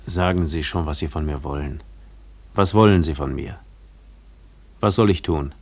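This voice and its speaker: An actor playing a withdrawn, guarded recluse in a German radio play, speaking in a reticent, contemplative and measured way. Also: male voice, rumbling low. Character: withdrawn, guarded male recluse shunning the world.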